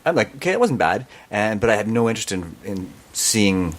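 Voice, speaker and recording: A man with a lowish voice co-hosting a casual podcast. He talks haltingly, restarting and repeating words as he thinks. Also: sing-song. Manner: hesitant, stumbles and repeats words. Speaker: podcast co-host.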